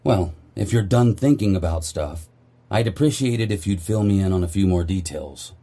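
Stern army commander played by a man, dry and authoritative, deep mellow voice, audio drama. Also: normal speed. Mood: dry sarcasm. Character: stern, dry, duty-bound male army officer. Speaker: commanding officer character.

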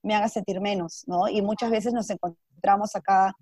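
A Peruvian woman talks casually about her own life at a middle pitch. She mixes in English words and voices the people she quotes. The recording is dry, with very little room sound. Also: steady tone, normal speed, mellow, husky, no echo. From Peru. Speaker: interview guest. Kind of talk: casual personal storytelling with some English words mixed in.

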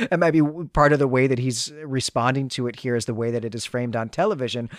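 A man's voice: mid-range voice, dry recording, studio quality. A man in his forties who teaches college, talking casually about a story with many fillers and restarts. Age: 40s. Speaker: podcast co-host, college teacher. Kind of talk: casual discussion of fiction.

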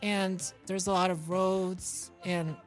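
A woman's voice: on the low side, level tone, slow pace, faint music behind. A woman from New York chatting casually as an interview guest, in a guileless, self-deprecating, simply worded way. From New York, United States. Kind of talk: casual chat.

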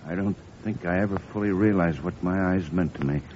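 An actor playing an anxious, despairing patient with bandaged eyes, halting and pleading, in 1940s radio drama style. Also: male voice, deep voice, a little mellow, lightly acted. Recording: dull, noisy old radio recording.

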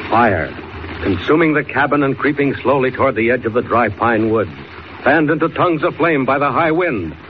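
A man's voice: medium pitch, moderate pace, natural voice. A man narrating a 1940s radio serial in a dramatic, suspenseful scripted style. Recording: dull, faintly noisy old radio recording.

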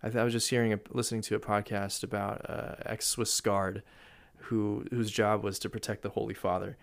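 Casting: lower-pitched man with a gravelly voice, chatty and storytelling. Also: brisk. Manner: storytelling, animated with laughs. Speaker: guest speaker. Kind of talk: casual podcast chat.

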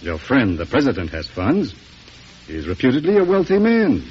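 A man's voice: mid-range voice, wildly swooping pitch, moderate pace, darkish tone. A man playing a haughty, hard-hearted senator in mid-century radio drama, with a curt, pompous, dismissive delivery. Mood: scornful, impatient. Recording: muffled, noisy old radio broadcast.

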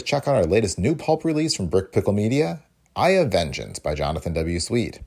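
A man hosting a podcast, reading its introduction in a steady announcer's delivery. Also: sits low, vocal fry. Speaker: podcast host.

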